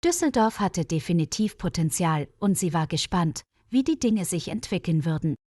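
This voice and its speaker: A woman's voice: fairly low pitch, big pitch swings, normal speed, warm tone, no echo, studio quality. A woman reading a scripted story for language learners as its narrator. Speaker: narrator of stories for German learners.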